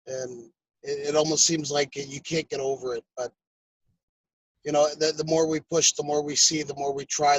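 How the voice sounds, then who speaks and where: Higher-voiced man, halting and reflective. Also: low-quality recording. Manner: halting, repeats phrases. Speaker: call-in participant. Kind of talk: casual, reflective remarks.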